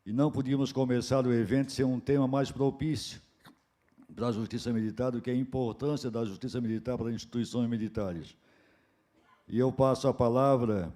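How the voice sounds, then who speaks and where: Hoarse man moderating a conference. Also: mid-range voice. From southern Brazil. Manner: relaxed, joking, self-deprecating. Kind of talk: conference opening remarks.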